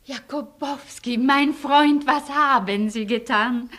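A woman's voice: high voice, slow, fairly bright tone, slight background noise. An actress playing an anxious, earnest, devoted refugee woman in a German radio play, with emotional delivery. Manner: emotional, dramatic.

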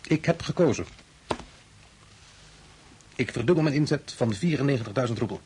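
A man's voice: mid-range voice, animated, moderate pace, darkish tone, lightly acted. Actor as intense male gambler, swinging voice. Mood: tense, determined. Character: tense obsessed male card gambler, unraveling at the table. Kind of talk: Dutch radio drama acting. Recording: faintly noisy old radio play recording.

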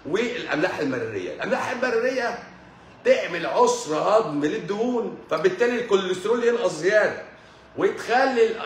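A man's voice: on the high side, reverberant, slight background noise. A man with an Egyptian Arabic accent giving a health lecture on a livestream, stressing and repeating his points and mixing in English medical terms. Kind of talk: livestream lecture with viewer questions. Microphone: livestream microphone.

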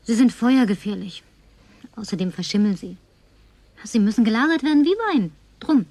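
An actress playing a tipsy, flirtatious, lonely woman survivor in a radio drama, coquettish and slipping into breathy whispers. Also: on the high side, animated, normal speed, dark tone, no echo. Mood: tipsy reminiscing. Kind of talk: German radio drama acting.

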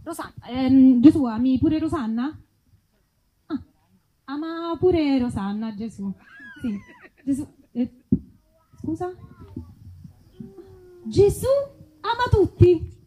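A woman doing conversational stage comedy with a very high voice. She sounds colloquial and indignant, stopping and starting as in a one-sided phone call. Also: animated, slow pace, pauses often, warm tone. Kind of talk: conversational comic bit, mock phone call.

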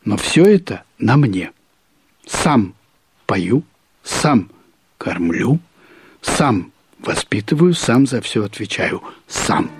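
A man reads literary prose as a storyteller, in a measured, deliberate way with pauses and emphatic repetition. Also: fairly low pitch, big pitch swings, husky, dry recording. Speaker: narrator. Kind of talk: expressive literary narration of a short story.

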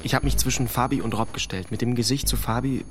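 A young man playing a troubled fourteen-year-old boy, halting and earnest, in a radio play. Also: medium pitch, over music, lightly acted. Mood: earnest, haunted. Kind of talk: German radio play acting.

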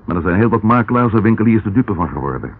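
An actor playing a good-humoured, inquisitive Scotland Yard detective and loyal colleague in a Dutch radio play, talking casually. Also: male voice, lowish voice, lively intonation, fast talker, husky. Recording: dull old radio recording, faint noise.

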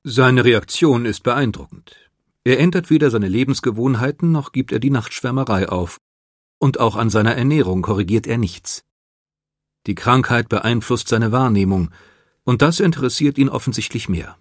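A man reading scripted biographical narration in a measured, composed manner. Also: mid-range voice, dry recording, studio quality. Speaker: documentary narrator. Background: music.

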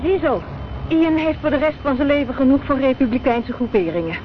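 A woman's voice: high voice, a little fast, natural voice. An actress playing a detective's practical, inquisitive female companion in a Dutch radio drama, probing and forthright. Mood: teasing, piqued. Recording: muffled, noisy old radio recording.

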